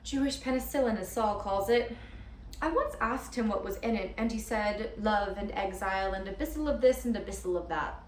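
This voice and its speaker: A woman's voice: medium pitch, normal speed, natural voice. A chatty, earnest young girlfriend acted by a woman rambles nervously and keeps correcting herself, as in a small stage monologue. The sound is roomy and set back from the microphone, with some faint noise around it. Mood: chatty, wistful. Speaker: actor performing a monologue. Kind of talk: theatrical comic-dramatic monologue. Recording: roomy, distant mic with background hiss.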